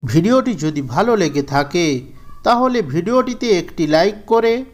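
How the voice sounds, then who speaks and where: A man narrating a scripted children's story in a measured, deliberate storybook manner. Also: high voice, lively intonation.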